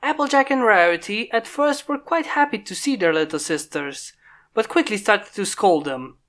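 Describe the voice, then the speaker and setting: A woman reads a fan story aloud in a steady, measured voice. Her pitch sits in an ordinary middle range. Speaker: fan fiction reader. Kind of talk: storybook narration.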